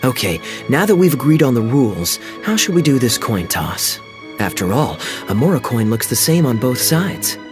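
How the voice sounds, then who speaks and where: A man's voice: medium pitch, sing-song, moderate pace, music in the background, natural voice. A man voicing a charming, mischievous young nobleman in a game cutscene, who is teasing and playfully commanding. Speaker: wealthy visitor at a tea house.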